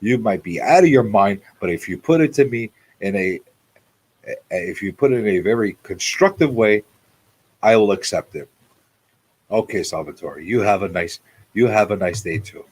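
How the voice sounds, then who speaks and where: Animated man hosting a live talk show. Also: fairly low pitch, normal speed, slightly rough, low-quality recording. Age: forties. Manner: repeats phrases for emphasis. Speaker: radio show host.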